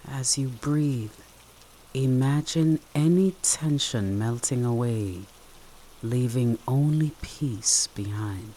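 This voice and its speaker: A soothing meditation guide with a mid-pitched voice speaks extremely slowly. Short, gentle instructions are separated by long pauses. Soft rain falls steadily behind the voice. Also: slight background noise.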